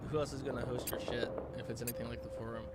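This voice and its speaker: A man streaming casual, rambling commentary in a halting, sarcastic way with some swearing. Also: medium pitch, level tone, very noisy. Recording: loud game music and gunfire behind. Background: video game music, gunfire and vehicles.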